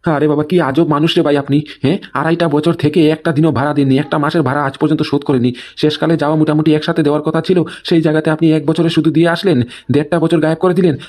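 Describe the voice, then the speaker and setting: A man's voice: fairly high pitch, flat delivery, racing, darkish tone, lightly acted. A man voicing an exasperated landlord chasing rent in an amateur comedy cartoon, complaining and sarcastic.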